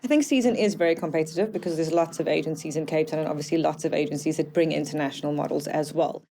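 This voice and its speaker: Low mellow-voiced woman, agency booker, frank and matter of fact. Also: fast talker, a little hiss or hum. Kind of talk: documentary interview.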